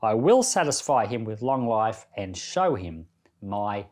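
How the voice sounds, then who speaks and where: A man's voice: fairly low pitch, big pitch swings, measured pace, a little mellow. A man speaking as a pastor on a Bible podcast, reading scripture and offering reflective, informal devotional commentary.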